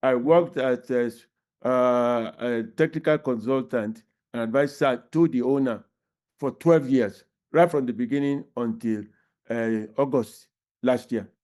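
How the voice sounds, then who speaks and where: A man in his eighties with a Nigerian accent, speaking as a panelist on an online panel in a halting, deliberate way with many pauses. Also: mid-range voice, darkish tone. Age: 80s.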